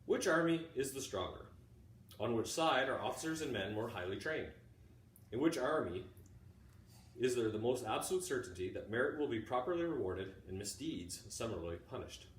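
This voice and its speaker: A middle-aged man, a teacher reading aloud in a deliberate way, pausing often between short phrases. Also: on the low side. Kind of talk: informal introduction and measured read-aloud of a classic book. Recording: roomy, distant mic, faint background noise.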